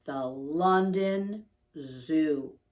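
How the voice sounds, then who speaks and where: Woman voicing a tender, earnest young soldier, slowly. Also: fairly high pitch, distant mic, pitched below the performer's own voice. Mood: tender, reassuring. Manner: gentle, serious, deliberate.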